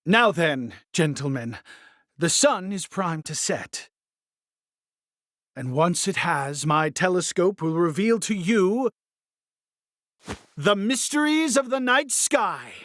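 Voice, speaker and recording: Excitable nerdy astronomer game character, high pitched, super animated, flowery earnest talk. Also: male voice, slow, long pauses, slight background noise, full character voice. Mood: elated, grateful. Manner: theatrical, flowery, precise. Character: excitable, earnest, fussy male scholarly astronomer. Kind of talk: dramatic video game voice acting.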